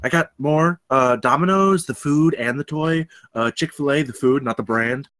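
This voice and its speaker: A man's voice: mid-range voice, moderate pace, a bit bright, dry recording, clean studio sound, close to an everyday voice. A man playing an awkward, hesitant young graduate student at a comedy table read. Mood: earnest, nerdy.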